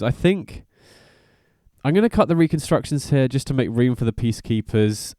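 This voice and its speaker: A man hosts a card game guide in a relaxed, mellow voice of medium pitch. His commentary is informal and peppered with slang. The sound is close and dry, with very little room in it. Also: no echo. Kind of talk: casual gameplay commentary.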